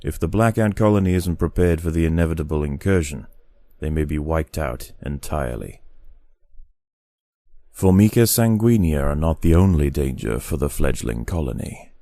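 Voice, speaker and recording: A man delivering scripted nature documentary narration in a solemn, deliberate, dramatic manner. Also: very deep voice, expressive, dark tone, no room sound. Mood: grave, ominous. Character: grave, measured male nature documentary narrator.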